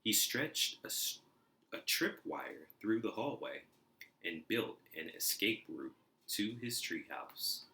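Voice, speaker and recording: Man, children's story narrator, expressive, unhurried, bright low voice. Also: vocal fry. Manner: expressive, deliberate, acts out sounds. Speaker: storybook reader.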